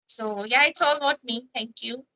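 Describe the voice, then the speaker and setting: A woman with an Indian accent chats over an app call. Her voice sits high, and her tone is bright. She hesitates often and fills gaps with "uh" and "okay" while she asks her questions. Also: moderate pace, low-quality recording. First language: Hindi. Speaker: language practice partner. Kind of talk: casual practice conversation.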